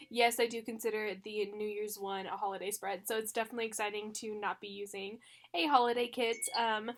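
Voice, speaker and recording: A woman's voice: fairly high pitch, fairly bright tone. Chatty young woman with a stuffy voice. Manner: chatty, with frequent fillers and little laughs. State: congested from the flu, with a slight cough. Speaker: planner vlogger. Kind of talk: casual chat.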